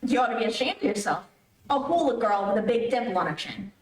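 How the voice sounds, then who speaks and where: A woman's voice: fairly high pitch, animated, quick pace, natural voice. A woman playing a nagging, self-pitying wife in an old-time radio comedy, whiny and reproachful. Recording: echoey, distant streamed stage recording.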